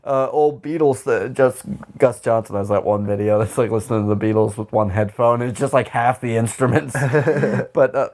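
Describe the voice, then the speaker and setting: A man co-hosting a podcast in casual comedic banter, rambling and halting as he goes and often laughing. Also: medium pitch, a little mellow. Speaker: podcast co-host.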